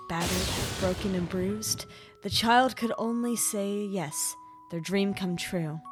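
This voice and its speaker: A woman voicing a reluctant, gently teasing storyteller in a character voice piece, with a hesitant, soft-hearted delivery. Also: mid-range voice, close to an everyday voice. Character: reluctant, gently teasing storyteller, self-deprecating about magic. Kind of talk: character voice pack, conversational then fairy-tale narration. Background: background music.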